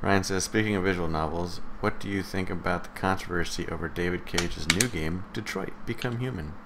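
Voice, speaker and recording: A man's voice: sits low, brisk, noisy background. A man co-hosting a live podcast in casual banter. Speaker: podcast co-host. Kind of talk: casual banter, reading out chat questions.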